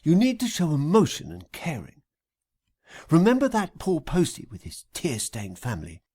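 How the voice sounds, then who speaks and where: A man's voice: a little high, wildly swooping pitch, normal speed, a little mellow, husky, full character voice. A man voicing an earnest, nervous schemer who instructs his accomplice, in acted audiobook dialogue. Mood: earnest, coaching.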